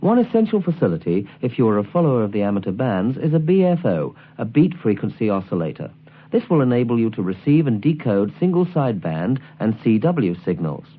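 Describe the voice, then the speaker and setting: A man presenting a scripted instructional guide, explaining things in a clear, didactic way. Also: medium pitch, big pitch swings, fairly quick, narrow, tinny audio. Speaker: presenter of a shortwave listening guide.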